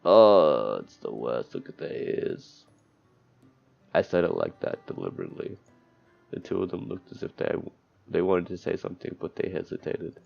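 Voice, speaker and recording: A man with a deep, creaky voice reads visual novel text aloud as he plays. He breaks in with crude, sardonic, sweary asides. The mic is dull and lo-fi, with game music behind him. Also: sing-song, normal speed. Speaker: streamer playing a visual novel. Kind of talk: let's play, reading game text aloud with commentary.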